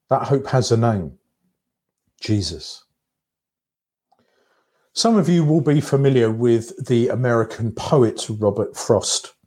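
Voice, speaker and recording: A man giving a reflective sermon in a measured, contemplative way, breaking his thoughts into short phrases. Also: mid-range voice, a little mellow. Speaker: preacher.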